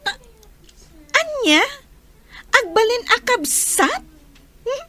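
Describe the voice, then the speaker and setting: A woman with an Ilocano accent playing a nosy, teasing, expressive young confidante in a radio drama. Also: very high-pitched, slow, full character voice. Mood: curious.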